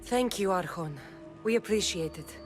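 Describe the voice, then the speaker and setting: An actress plays a young Greek mercenary woman in a video game, bold and steadfast. She speaks with dry confidence at a middle pitch, over clearly heard music. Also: lively intonation, moderate pace, natural voice. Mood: wry, persuasive. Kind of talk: video game dramatic dialogue.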